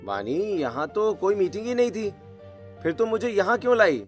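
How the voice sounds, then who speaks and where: A man's voice: high-pitched, animated, normal speed, soft background music, voice pushed up. A man voicing a mild, hesitant young husband in a dramatized Hindi story. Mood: puzzled.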